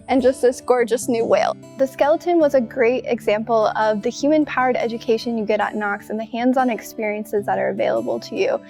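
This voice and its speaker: A woman's voice: fairly high pitch, a little mellow. A woman giving an enthusiastic promotional tour of a college science center. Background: faint music.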